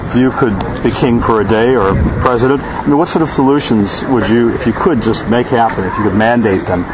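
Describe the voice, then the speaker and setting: A man's voice: medium pitch, fairly quick. A man interviewing passersby outdoors with a handheld recorder, asking thoughtful, probing questions and sometimes musing at length himself. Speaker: street interviewer. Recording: noisy outdoor street recording.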